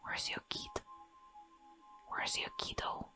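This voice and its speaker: A woman's voice: slow pace, music in the background. A woman whispering playful, giggly commentary as she plays a barista game, in the manner of ASMR. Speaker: gamer playing a barista game.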